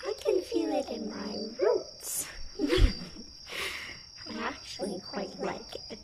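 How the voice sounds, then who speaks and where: Woman playing a high-voiced feisty tree nymph. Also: very animated, slow pace, heavy background noise, heavily acted. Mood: playful, intrigued. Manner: theatrical, emphatic, playfully defiant. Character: feisty, forgetful young female tree nymph, stubborn and playful. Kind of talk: ASMR fantasy roleplay. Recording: loud chirping forest ambience over voice.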